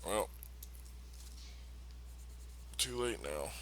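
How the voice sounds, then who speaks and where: A man's voice: lowish voice, lively intonation, drawn-out words, fairly bright tone, a little hiss or hum. A man chatting casually as a player in a tabletop role-playing game.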